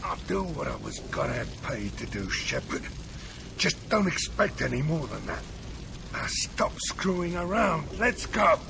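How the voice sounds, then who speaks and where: Gravelly, bitter older mercenary. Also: male voice, fairly high pitch, wildly swooping pitch, measured pace, background noise, heavily acted. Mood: surly, defiant. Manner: gruff, profane. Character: bitter, injured, vengeful older male mercenary. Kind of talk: video game cutscene acting.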